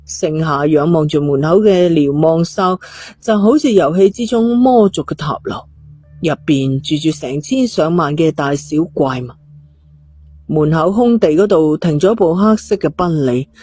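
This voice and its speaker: A woman's voice: fairly low pitch, dark tone, breathy edge. A woman, narrating a scripted thriller story. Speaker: audiobook narrator.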